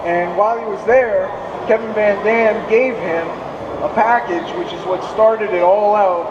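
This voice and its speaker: Hoarse guy giving an expo seminar, highish voice, echoey hall, ac humming. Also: steady tone, a little slow, muffled recording. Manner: explanatory, digressive, with restarts. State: hoarse from speaking. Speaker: fishing seminar presenter. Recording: echoey hall, distant mic, air-conditioning hum.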